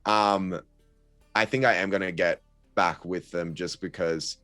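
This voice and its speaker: Young male podcast guest, very low pitch, halting and easygoing. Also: fairly even intonation, a bit bright, faint music behind. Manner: hesitant, many ums and restarts, laughs easily. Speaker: guest content creator. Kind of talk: casual podcast chat.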